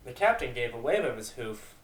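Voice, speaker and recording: A man's voice: mid-range voice, very animated, fairly quick, slight background noise. Man narrating a story in a roomy space. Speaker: narrator of a fan fiction dramatic reading. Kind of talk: amateur dramatic story narration with casual asides. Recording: roomy, echoing home recording.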